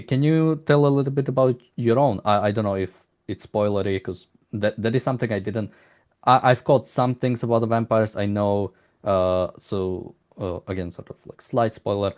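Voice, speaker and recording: Male interviewer, low register, conversational and halting, circles around questions with repeated words. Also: a little mellow. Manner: halting, rambling, repeats words while searching for phrasing.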